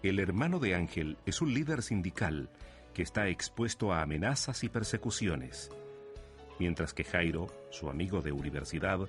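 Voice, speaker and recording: A man's voice: deep voice, expressive, normal speed. A man narrating a scripted romantic radio drama as a solemn storyteller. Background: music underneath.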